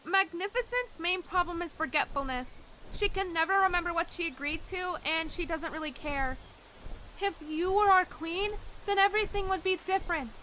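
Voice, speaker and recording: A woman's voice: very high voice, normal speed, pushed and bright, a little hiss or hum, poor audio. A woman voicing an eager, chatty three-year-old female dragonet in a fan dub. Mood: chatty, earnest.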